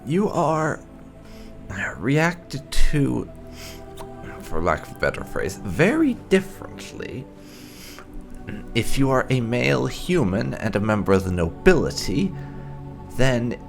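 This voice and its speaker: A man's voice: medium pitch, sing-song, takes it slowly, creaky, long pauses, soft background music. A man hosting a gaming video with casual, explanatory playthrough commentary, wandering into emphatic asides.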